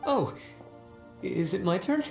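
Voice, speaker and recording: An actor playing a timid, meticulous male civil servant in a video game, speaking haltingly and awkwardly. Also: high voice, wildly swooping pitch, creaky, roomy sound, faint music behind, exaggerated voice. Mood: nervous, halting. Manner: hesitant, halting, inarticulate.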